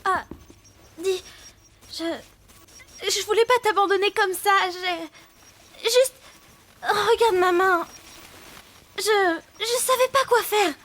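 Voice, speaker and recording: A bewildered female cartoon newcomer, played by a woman, has an extremely high voice. She sounds jittery and unsure, halting as she talks. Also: fairly bright tone, heavily acted. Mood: hesitant, guilty. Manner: hesitant, uneasy, halting. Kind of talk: cartoon dubbing.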